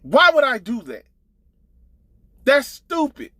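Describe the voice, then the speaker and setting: A man's voice: extremely high pitch, wildly swooping pitch, slow, a bit bright, no echo, clean studio sound. A man telling a casual gossip story as an online commentator, blunt, profane and exasperated, driving his points home with emphatic repetition.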